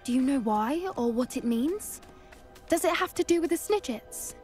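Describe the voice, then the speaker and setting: A woman's voice: high voice, lively intonation, normal speed, darkish tone, soft background music. A woman playing an earnest, eager young witch in a fantasy video game, with an inquisitive manner. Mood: curious. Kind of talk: scripted fantasy game dialogue.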